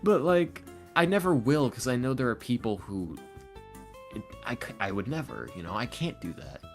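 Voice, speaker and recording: A man's voice: medium pitch, big pitch swings, normal speed, over music. A man speaking casually and candidly as a podcast guest, earnest, confiding and self-deprecating. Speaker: podcast guest, ASMR roleplay audio creator. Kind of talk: casual, candid interview talk.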